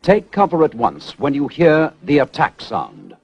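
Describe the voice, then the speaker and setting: British male announcer for vintage civil defence narration: formal and measured, widely varied intonation, muffled band-limited audio. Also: fairly high pitch, wildly swooping pitch, a little hiss or hum. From United Kingdom. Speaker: public information film narrator. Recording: dull, band-limited 1970s film soundtrack.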